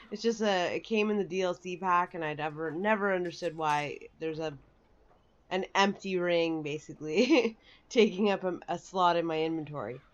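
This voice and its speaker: A woman's voice: fairly low pitch, moderate pace, muffled recording. A woman giving casual, chatty, rambling walkthrough commentary for a video game. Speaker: gaming video host. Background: faint game music.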